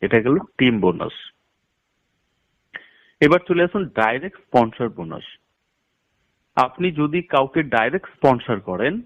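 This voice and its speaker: Male promoter, animated mid-range voice, instructional and emphatic, dull lo-fi phone-call sound. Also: mid-range voice. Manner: instructional, step-by-step, emphatic with figures. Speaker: network marketing promoter. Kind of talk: explaining a business plan over a phone call.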